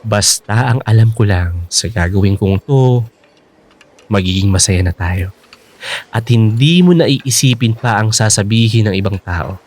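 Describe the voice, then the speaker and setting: A young man in a Filipino radio drama, a boyfriend hiding a plan. His pitch swings widely as he teases and coaxes. He is expressive, reassuring and ready to stand his ground. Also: unhurried. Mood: coaxing, reassuring.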